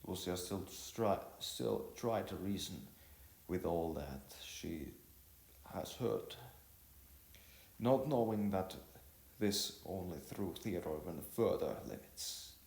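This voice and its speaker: A man narrating a scripted story, reading in a halting, stumbling way. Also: low-pitched, lively intonation, darkish tone. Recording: roomy echo, music behind.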